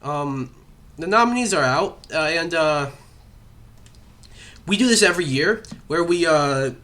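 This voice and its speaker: A man's voice: a little high, expressive, a little slow, sharp tone. A young man hosting a casual podcast chat, rambling with lots of fillers and nursing a cough. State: has a cough. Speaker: podcast host.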